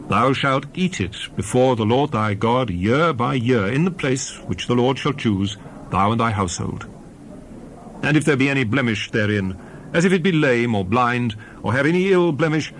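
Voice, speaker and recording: Man, Bible narrator, solemn and oratorical, widely varied intonation, emphatic phrasing, some background noise. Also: medium pitch. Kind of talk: dramatized scripture reading.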